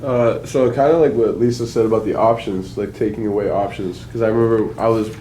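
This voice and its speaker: Brief: young low-voiced man, rambling and hesitant, faint background noise. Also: fairly even intonation, brisk, mellow, poor audio. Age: 20s. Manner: hesitant, many fillers. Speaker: workshop attendee asking a question. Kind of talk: rambling audience question.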